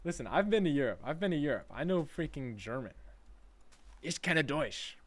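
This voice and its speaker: A man's voice: fairly high pitch, very animated, normal speed, no room sound. Chatty guy streaming games. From United States. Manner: chatty and playful, reading chat aloud and laughing often. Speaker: livestreamer. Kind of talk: casual gaming livestream chat.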